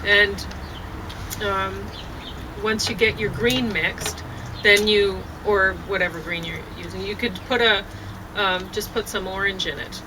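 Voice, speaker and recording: A woman's voice: mid-range voice, steady tone, measured pace. Chatty woman on a noisy outdoor deck. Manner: rambling, chatty, many fillers and restarts. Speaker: vlogger. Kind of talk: casual outdoor chat. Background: birds and passing traffic.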